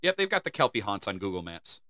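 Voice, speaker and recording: Brief: American man, podcast co-host, very bright voice, dry close sound, reads lore then riffs. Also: fairly low pitch, very animated, fast, no room sound. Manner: reads folklore aloud, then riffs with swearing and laughs. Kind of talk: casual comedy podcast chat.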